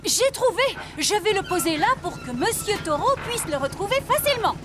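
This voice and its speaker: An actress voicing a busy, cheerful female rabbit who flies a rescue helicopter in a dubbed cartoon, brisk and helpful. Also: very high voice, sing-song. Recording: cartoon soundtrack under loud music. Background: music.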